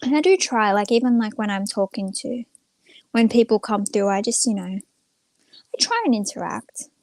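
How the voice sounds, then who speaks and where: A woman's voice: medium pitch, moderate pace, darkish tone, no echo. A young woman chatting casually to viewers on a livestream in a rambling, informal, self-effacing way. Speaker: live streamer.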